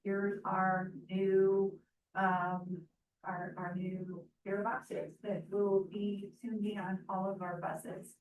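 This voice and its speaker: A woman presenting at an event, speaking at an unhurried pace with some fillers. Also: mid-range voice, flat delivery, narrow, tinny audio. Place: event room. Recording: roomy, distant mic with faint noise.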